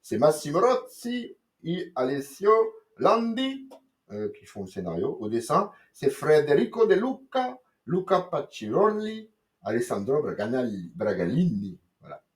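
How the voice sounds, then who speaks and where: A man's voice: a little high, huge pitch swings, a little slow. A man from France giving a casual, rambling comics review, full of fillers, slang and swearing.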